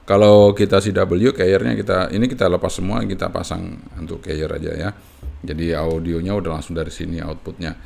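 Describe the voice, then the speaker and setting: A man with a lowish, noticeably creaky voice presents a casual hands-on tutorial. He explains things in short, halting pieces and often checks in with small tag words at the end of a phrase. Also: steady tone, darkish tone. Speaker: amateur radio hobbyist presenting a tutorial.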